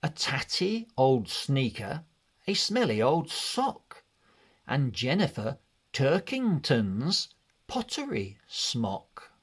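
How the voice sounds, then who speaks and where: A man narrating a children's read-along storybook in an expressive, deliberate way. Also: medium pitch, slightly rough. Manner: expressive, deliberate, measured delivery.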